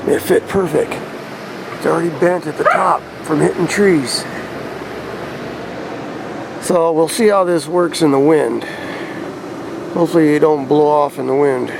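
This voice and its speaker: Gravelly American man rambling casually. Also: a little high, measured pace, pauses often. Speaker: hobbyist vlogger showing off CB radio gear. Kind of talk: casual rambling show-and-tell. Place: in or around a pickup truck. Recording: noisy handheld camera recording with clicks.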